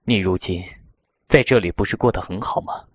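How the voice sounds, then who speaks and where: A man playing a proud, weary fox-spirit king and stern elder brother in a Chinese audio drama, measured and aloof. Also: sits low, slight background noise, close to an everyday voice. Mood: dry, aloof.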